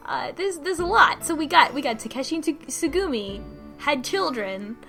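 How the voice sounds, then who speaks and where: Higher-voiced woman in casual, halting recap. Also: big pitch swings, gravelly, bright, forceful tone, faint music behind. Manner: halting, with false starts and swearing. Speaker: podcast co-host recapping a story. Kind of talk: casual conversational plot recap.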